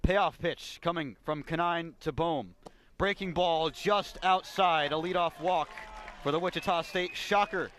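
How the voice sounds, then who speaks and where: A man's voice: fairly high pitch, unhurried, a bit bright. An American man doing live radio play-by-play commentary as a baseball announcer. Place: ballpark radio broadcast.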